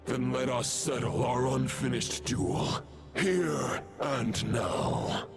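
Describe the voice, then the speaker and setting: An actor playing a proud fallen knight in a video game, grave and chivalrous, speaking in formal, archaic, declamatory phrases with a gravelly voice. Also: male voice, medium pitch, takes it slowly. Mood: solemn, courteous. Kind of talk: theatrical video game voice acting. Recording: loud music under the voice.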